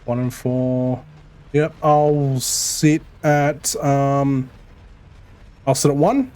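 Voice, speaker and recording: A man with an Australian Melbourne accent chatting casually while streaming a co-op game, swearing freely and rambling quickly. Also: medium pitch, darkish tone. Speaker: streamer playing co-op. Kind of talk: casual gaming chat.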